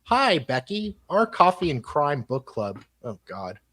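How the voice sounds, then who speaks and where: A man's voice: a little high, sing-song, measured pace, fairly bright tone. Man dramatically reading emails with mocking asides. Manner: mocking asides, breaks off to comment. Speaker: host reading emails aloud. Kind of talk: dramatic reading mixed with casual live commentary.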